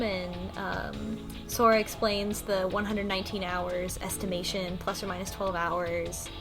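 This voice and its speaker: Female co-host, mid-range voice, casual recap, clear background music. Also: mid-range voice. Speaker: podcast co-host. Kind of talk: casual recap and discussion of a game.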